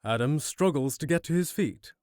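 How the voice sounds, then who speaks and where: A man narrating a scripted fantasy audio drama in a measured, dramatic storyteller manner. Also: on the high side, expressive, a little mellow, dry recording, studio quality. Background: music.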